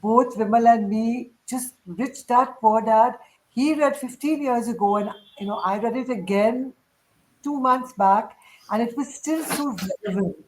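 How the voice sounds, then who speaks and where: A woman's voice: fairly high pitch, measured pace, darkish tone, low-quality recording. Effusive woman hosting a chat. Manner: effusive and encouraging. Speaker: host of a group chat. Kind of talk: moderating a casual panel discussion.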